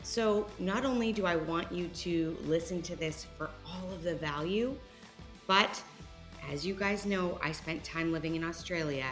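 A woman's voice: on the low side, unhurried. A woman in her thirties, from Canada, hosting a podcast in an upbeat, enthusiastic way. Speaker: podcast host. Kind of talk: podcast hosting and interview chat. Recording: light music behind.

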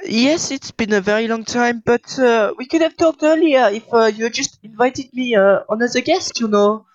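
A woman's voice: fairly high pitch, normal speed, muffled recording, lightly acted. A woman voicing an ageless twelve-year-old French boy with a put-on French accent, pompous and fussy about how his name is said. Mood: wounded. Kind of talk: improvised comedy podcast character.

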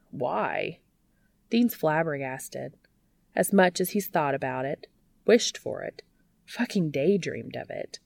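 A woman reading a story aloud as scripted narration, in an expressive audiobook style. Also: on the low side, no room sound. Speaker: audiobook reader of fan fiction.

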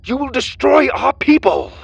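A man voicing an anguished captive council member in a storybook, crying out in a loud, strained voice. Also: extremely high pitch, very animated, normal speed, vocal fry, husky, dry recording, highs cut off, pitched above the performer's own voice, heavily acted. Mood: defiant, desperate. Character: desperate, anguished captive male council member, defiant rebel.

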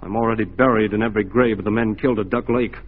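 Despairing deserter, lowish voice. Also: male voice, steady tone, very fast, breathy edge. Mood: bleak, despairing. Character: despairing, guilt-ridden young deserter. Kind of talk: 1940s radio drama acting. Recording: dull, faintly noisy 1940s radio recording.

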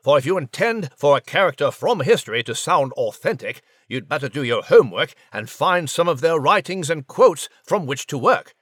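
A man voicing a genteel, learned and pompous gentleman animal in a children's audio drama. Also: fairly high pitch, very animated, normal speed, few pauses, slightly rough, no room sound, clean studio sound, heavily acted. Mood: measured, scholarly. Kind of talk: children's history podcast character acting.

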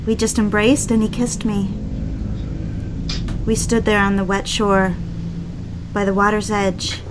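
An actress playing an adoring, playful newlywed wife in a romantic audio drama, with tender, coy, rather formal delivery. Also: female voice, medium pitch, little pitch movement, unhurried, background noise, lightly acted. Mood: wistful narration. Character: adoring, teasing young newlywed wife on honeymoon. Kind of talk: romantic audio drama dialogue and first-person narration. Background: music.

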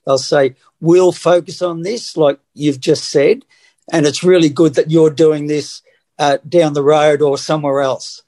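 A man's voice: fairly high pitch, a little mellow. A man co-hosting a casual talk, speaking in an encouraging, enthusiastic way. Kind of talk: casual chat.

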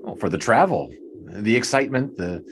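Lowish-voiced, polite 1920s sportswriter. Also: male voice, expressive, normal speed, a little hiss or hum. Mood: earnest, hesitant. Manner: reserved, polite, slightly hesitant. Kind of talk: audio drama acting.

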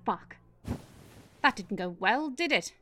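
A harried , played by a woman in a radio play. She speaks in a fairly high voice, exasperated and halting, picking careful political phrases and slipping into swearing. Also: lively intonation, music in the background. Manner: exasperated, stammering politician's hedging. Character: harried, sweary British Prime Minister, a woman.

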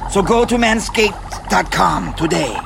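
An actor playing a pompous, earnest pitchman who speaks in formal, grandiose, slightly awkward phrases in a comic ad. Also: animated, a little slow, vocal fry, background noise, exaggerated voice. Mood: grandly enthusiastic. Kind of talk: comic scripted ad read in character.

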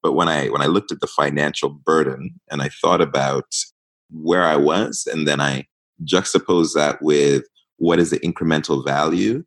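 A man with an extremely deep, low voice speaks as a podcast guest. He grew up in East Africa with Ghanaian parents and talks reflectively, wandering into asides as he tells his story. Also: steady tone, moderate pace, fairly bright tone. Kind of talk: conversational interview.

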